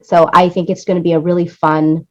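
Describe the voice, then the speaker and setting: Female interview guest, lowish voice, effusive and emphatic with repeated phrases, on slightly muffled lo-fi audio. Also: level tone, a little fast. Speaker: interview guest, music supervisor and producer. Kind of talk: enthusiastic interview conversation.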